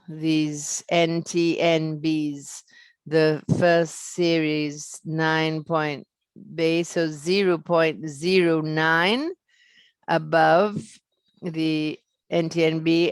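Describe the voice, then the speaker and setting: A speaker presenting financial results on an earnings call in halting, fragmented phrases. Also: medium pitch, clear, resonant tone, no echo.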